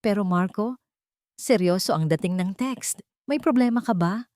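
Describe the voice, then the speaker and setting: Lady doing worried wife in a story drama, pleading, soft dark tone, dry sound. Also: mid-range voice, moderate pace, dry recording, voice pushed up, natural voice. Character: anxious, pleading wife and mother confronting her husband.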